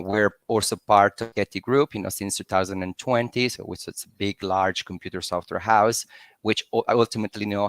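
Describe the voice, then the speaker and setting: Hesitant low flat-voiced man. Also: no echo. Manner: hesitant, many fillers and "you know". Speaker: panelist, tech company representative. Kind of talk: online panel introduction. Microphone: video call.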